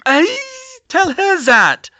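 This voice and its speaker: A man acts out a fiery young mother-to-be for a story, pitched very high. She is shrill, panicky and snappish, swinging widely in pitch. The recording is slightly dull. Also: very animated, very slow, sharp tone, exaggerated voice.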